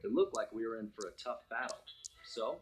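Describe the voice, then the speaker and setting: An actor playing a suave raccoon master thief, narrating game cutscenes in a wry, smooth storytelling manner. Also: male voice, fairly low pitch, brisk, a little hiss or hum, lightly acted. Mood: determined. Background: background music.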